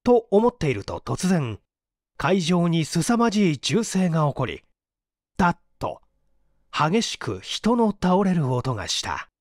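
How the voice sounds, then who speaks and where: A male audiobook reader narrates a story with lively intonation. His voice is slightly high for a man, and the recording is dry and close. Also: a little slow, no echo. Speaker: audiobook reader, stage actor. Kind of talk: dramatic scripted story narration.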